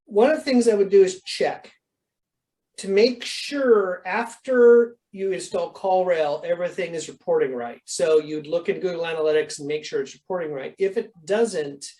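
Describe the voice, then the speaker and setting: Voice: medium pitch, normal speed, mellow, rough recording. Conversational instructor on a video call. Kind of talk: informal question-and-answer coaching.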